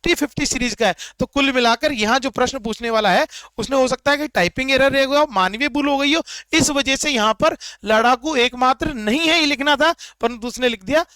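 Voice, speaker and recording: A man with a very high, smooth, resonant voice explains exam questions one by one. He repeats himself and poses rhetorical questions as he teaches. The recording is dry and close. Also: fairly quick, few pauses, sharp tone, no room sound.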